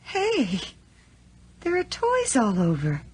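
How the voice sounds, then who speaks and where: High-voiced, jittery stranded young woman. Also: wildly swooping pitch, exaggerated voice. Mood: uneasy. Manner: halting, exclaiming, wisecracking. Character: nervous, chatty young woman stranded in snow. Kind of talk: 1980s radio horror drama acting. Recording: noisy archived radio drama.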